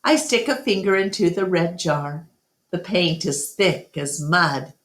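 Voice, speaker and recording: A woman reading a children's book aloud as a storytime narrator, with deliberate, expressive phrasing. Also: lowish voice. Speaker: storytime reader. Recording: roomy, echoey home recording.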